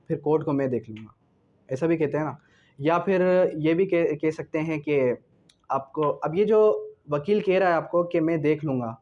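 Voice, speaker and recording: A man's voice: a little high, a little mellow. A man giving an explanatory law lecture, didactic, posing questions and answering them himself, switching between read-out clauses and explanation. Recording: dull lo-fi sound.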